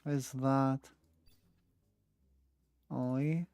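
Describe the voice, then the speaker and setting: A woman's voice: a bass voice, fairly even intonation, very slow, dark tone, smooth, no echo. A woman giving casual gameplay commentary in muttered, offhand remarks. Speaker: gaming streamer.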